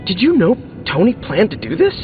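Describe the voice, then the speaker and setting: An upbeat young male hero who loves tinkering, with a high, animated voice. He is chatty and encouraging in game dialogue. The audio is muffled, with music clearly behind him. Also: fairly quick. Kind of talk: video game dialogue acting.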